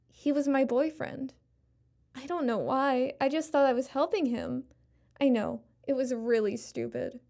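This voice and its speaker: Woman as a high, soft-toned remorseful student. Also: steady tone, a little slow, gravelly. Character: remorseful young female university student, meek and apologetic. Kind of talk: audio drama acting.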